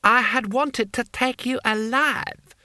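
A man's voice: very high voice, takes it slowly, bright, forceful tone, dry recording, clean studio sound, pitched above the performer's own voice, exaggerated voice. A man voicing a furious, haughty warlord in an audiobook, screaming imperious orders.

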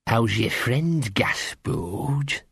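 Man doing a hoarse, menacing big thug dog. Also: mid-range voice, lively intonation, very slow, fairly bright tone, no room sound, studio quality, full character voice. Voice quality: hoarse, sandy half-whisper. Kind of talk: audiobook character voice.

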